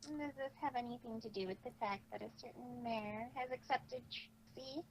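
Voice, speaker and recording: Woman playing a proud, high-voiced unicorn showwoman. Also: normal speed, natural voice, low-quality recording. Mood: probing, teasing. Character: proud, guarded female unicorn showwoman speaking in third person. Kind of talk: amateur cartoon fan fiction reading. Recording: faint background noise.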